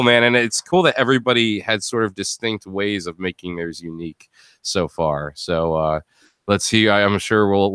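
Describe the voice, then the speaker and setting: A man hosting a live post-show chat with an emphatic, excitable delivery. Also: fairly low pitch, smooth, no echo, studio quality. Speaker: host.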